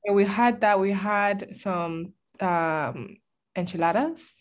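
A woman's voice: mid-range voice, slow pace. Chatty young woman. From Nigeria. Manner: chatty, laughs often, lively. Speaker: podcast guest. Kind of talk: casual chat.

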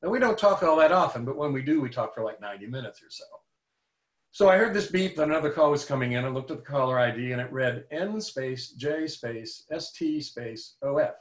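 A man in his seventies earnestly telling a personal faith story in a measured way. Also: medium pitch, lively intonation. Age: 70s. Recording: roomy, echoey room.

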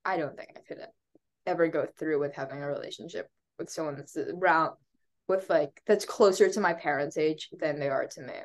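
A woman's voice: deep voice, brisk. A young woman co-hosting a podcast, chatting casually with lots of fillers and restarts, sounding congested. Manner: rambling, many fillers and restarts, laughs often. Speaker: podcast co-host. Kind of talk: casual chat.

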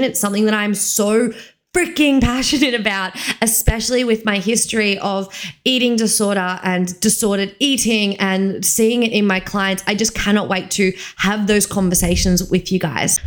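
A woman's voice: on the high side, a bit bright. Candid young Australian woman podcasting. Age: 20s. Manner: candid, informal, emphatic with repeated phrases. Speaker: podcast host, fitness coach. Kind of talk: casual solo podcast talk.

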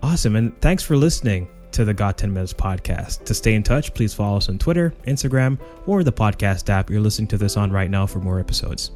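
A man with a low voice hosting a podcast interview. He pauses often and restarts phrases as he asks his questions. Faint music plays underneath. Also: lively intonation, a little mellow. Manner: halting, with many fillers and restarts. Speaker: podcast host.

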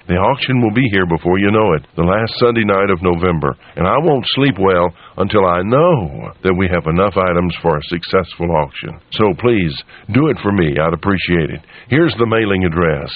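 A man's voice: low voice, expressive, normal speed, hardly any pauses. A man hosting on radio, making a folksy promo and appeal straight to listeners in a conversational way, with narrow broadcast sound. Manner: conversational, direct address to listeners. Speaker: radio station host.

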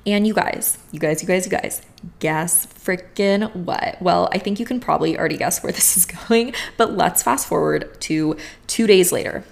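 A woman's voice: on the low side, normal speed, gravelly. A young woman hosting a podcast, talking to her listeners in a casual, effusive way and calling them friends. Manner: effusive, addresses listeners as friends and you guys. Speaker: podcast host.

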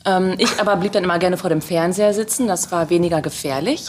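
A woman's voice: fairly low pitch, fast, darkish tone, a little hiss or hum. A woman in her forties co-hosting a casual podcast, playful and teasing as she tells anecdotes. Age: 40s. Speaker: podcast co-host.

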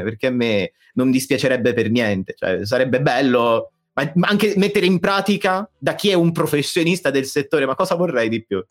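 A man chatting informally and emphatically as a podcast guest. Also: medium pitch. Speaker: podcast guest, amateur fan dubber. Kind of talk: casual chat.

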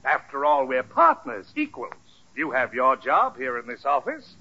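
Casting: jovial, scheming boss, bright husky high voice, pompous delivery, dull vintage radio recording. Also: male voice, wildly swooping pitch, moderate pace, full character voice. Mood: genial, condescending. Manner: pompous, theatrical, jovially persuasive. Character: hearty, wily, condescending male company boss. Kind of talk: 1940s radio sitcom acting.

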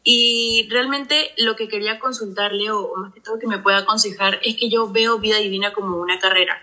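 A woman's voice: medium pitch, fairly even intonation, fairly quick, sharp tone. A woman in her twenties, polite and enthusiastic, asking for advice on a group call. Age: 20s. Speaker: caller asking a question.

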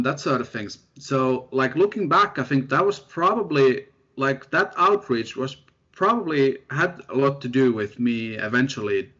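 A young man from Finland speaks in an easygoing, self-deprecating way, pausing often with fillers. His voice sits at a middle pitch. The recording sounds somewhat dull and lo-fi. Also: a bit bright, breathy edge. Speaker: guest researcher. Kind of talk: casual interview chat.

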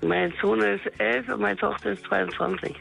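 A woman in her forties chatting casually as a radio caller, cheerful and quick to laugh. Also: mid-range voice, fairly even intonation, creaky, a bit bright, husky, soft background music, low-quality recording. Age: 40s. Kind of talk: casual phone chat.

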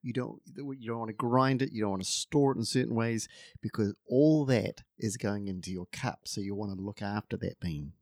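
A man's voice: fairly low pitch, normal speed, dry recording, clean studio sound. New Zealand man explaining coffee. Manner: explanatory, confident, restarts mid-sentence. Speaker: coffee expert co-host. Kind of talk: casual podcast chat.

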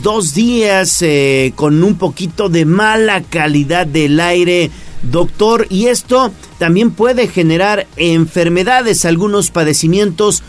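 A man hosting a morning radio news show, announcing the time and introducing guests in a friendly way. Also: sits high.

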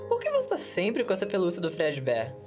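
A woman's voice: on the low side, huge pitch swings, a little fast, over music. A woman playing a mocking, dismissive young boy who sneers in an audio drama.

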